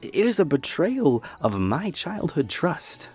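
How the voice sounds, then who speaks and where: A man's voice: on the high side, big pitch swings, slow pace, darkish tone, soft background music. A young man playing a dorky, earnest boyfriend in an intimate audio roleplay, rambling hesitantly and nerdily with playful self-deprecation. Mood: playfully indignant. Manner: hesitant, rambling, nerdy explaining.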